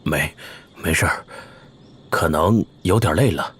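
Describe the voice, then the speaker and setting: A male actor playing an earnest, protective village father and handyman in an animated drama. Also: low voice, takes it slowly, breathy edge, exaggerated voice. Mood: uneasy.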